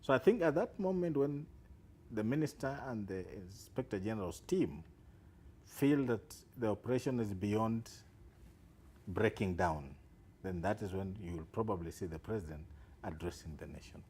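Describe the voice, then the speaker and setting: Assured low-voiced male analyst. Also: animated, normal speed, warm tone, a little hiss or hum. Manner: assured, explanatory, emphatic rises. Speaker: security analyst guest. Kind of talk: live TV news interview.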